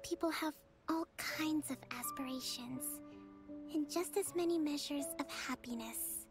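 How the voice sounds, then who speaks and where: A woman voicing a shy, earnest young priestess in a video game, soft-spoken and gentle, with hesitant, measured phrasing. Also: high voice, music in the background. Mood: earnest, hopeful.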